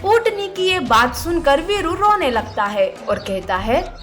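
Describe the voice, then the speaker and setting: Female storyteller for children's animal tales: high voice, slow scripted delivery, faint music underneath. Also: big pitch swings, a bit bright.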